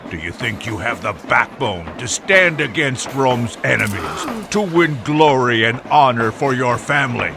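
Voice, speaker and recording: Declamatory Roman army recruiter. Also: male voice, medium pitch, expressive, a little slow, creaky, few pauses, noisy background. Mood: rousing, proud. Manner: declamatory, rousing orator. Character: proud Roman army recruiter proclaiming to citizens. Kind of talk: dramatised audiobook acting.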